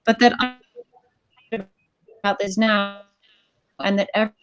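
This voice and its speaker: A woman panelist who is a climate activist, talking in a reflective, unhurried way on a casual video call. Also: mid-range voice, flat delivery, smooth, no room sound.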